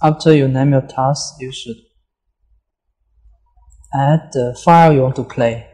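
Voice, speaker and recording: Male tutorial presenter, mellow and even-toned, explaining software steps haltingly with frequent mid-sentence pauses. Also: medium pitch. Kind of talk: unscripted step-by-step software walkthrough.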